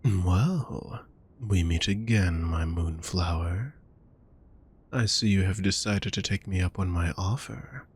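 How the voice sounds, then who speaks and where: A man playing a suave, possessive vampire fortune teller in an intimate audio roleplay, speaking formally and theatrically with breathy murmurs. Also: low-pitched, slow pace, heavily acted. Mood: smooth, welcoming. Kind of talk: intimate scripted audio roleplay addressed to the listener.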